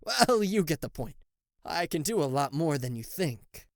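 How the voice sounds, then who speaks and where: A man performs a sarcastic, cocky devil for a roleplay audio. His pitch swings widely as he teases and blusters theatrically, tripping into stammers. The recording is dry, with no room sound. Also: very animated, a little slow, vocal fry, no room sound, heavily acted. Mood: playful, boastful. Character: cocky, sarcastic male devil, a fallen angel, secretly fond. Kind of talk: roleplay audio acting addressed to the listener.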